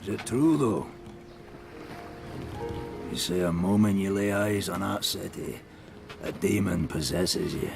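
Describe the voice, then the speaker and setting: An actor playing a gruff, world-weary ship captain who speaks in a low, brooding way in a video game drama. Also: male voice, unhurried, pauses often. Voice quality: low, gravelly. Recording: music behind the voice.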